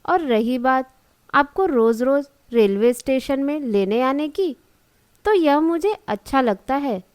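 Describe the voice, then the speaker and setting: A woman voicing a dutiful, gentle college-age daughter in a cartoon story, speaking respectfully and reassuringly. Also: sits high, animated, slow, no echo. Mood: earnest, reassuring. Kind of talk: Hindi cartoon story dialogue.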